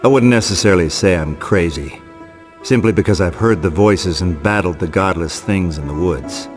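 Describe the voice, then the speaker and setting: An actor playing a weary, tough, wisecracking horror game hero, deadpan with dramatic pauses. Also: male voice, deep voice, lightly acted. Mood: brooding, wry. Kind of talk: scripted first-person horror game narration. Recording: loud music behind voice.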